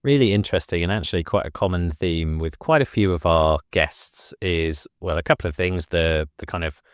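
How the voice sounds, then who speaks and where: A man's voice: low voice, normal speed, clear, resonant tone, dry recording, clean studio sound. A man hosting a business podcast, asking a guest curious, friendly questions. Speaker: podcast host.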